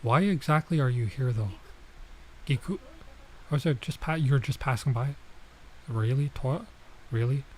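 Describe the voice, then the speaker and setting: A male streamer with a mid-pitched voice reads through a visual novel out loud. He is casual and drops in asides, tripping over words here and there. Clear noise sits behind his voice. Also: a little slow, long pauses, low-quality recording. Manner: casual, reacts with asides and stumbles over lines. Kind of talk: let's play, reading game text aloud with casual commentary.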